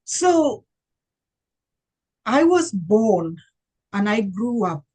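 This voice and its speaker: An older woman from Uganda recounts her life as an interview guest. She talks slowly and reflectively, pausing to search for words. Her voice is somewhat husky, at a middle pitch. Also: very animated, mellow. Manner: unhurried, reflective, with many fillers and searching pauses. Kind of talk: conversational interview storytelling.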